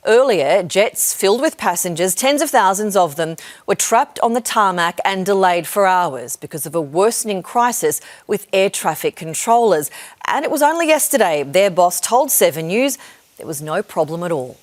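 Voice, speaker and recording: A woman's voice: lowish voice, expressive, normal speed. An Australian woman presenting scripted television news as an anchor.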